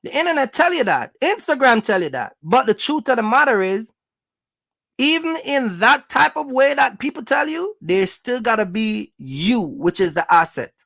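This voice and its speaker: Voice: medium pitch, big pitch swings, moderate pace, vocal fry, a bit bright, dry recording, studio quality. A speaker gives casual motivational advice as a host, talking emphatically, repeating points and checking in with listeners. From Jamaica. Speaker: host, trucking business coach.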